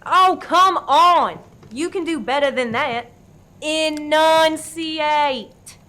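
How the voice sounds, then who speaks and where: Woman playing a bright-voiced, bossy, threatening sergeant. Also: high voice, very slow, full character voice. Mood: menacing. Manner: commanding, barking orders. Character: bossy, threatening interrogator known as Sarge. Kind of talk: comedic radio play acting.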